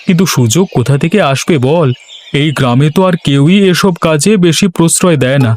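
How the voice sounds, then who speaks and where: Man playing a higher-voiced grumbling village woodcutter. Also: brisk, natural voice. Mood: self-assured. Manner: conversational, grumbling. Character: village woodcutter and carpenter, weary but self-assured. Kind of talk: cartoon story voice acting. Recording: music behind the voice.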